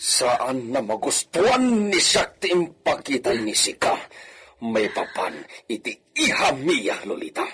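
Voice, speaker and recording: A man's voice: mid-range voice, big pitch swings, slightly rough, exaggerated voice. A man with an Ilocano accent playing a domineering, volatile villa master in a radio drama. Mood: stern, anguished. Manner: slow, theatrical, swinging from soft to shouting.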